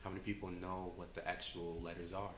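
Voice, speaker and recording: A young man with a low voice speaks plainly and matter-of-factly. The room gives his voice a clear echo. A faint noise sits in the background. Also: level tone, fairly quick. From Chicago, USA. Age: young adult. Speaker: student interviewee. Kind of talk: interview answers. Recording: echoey room.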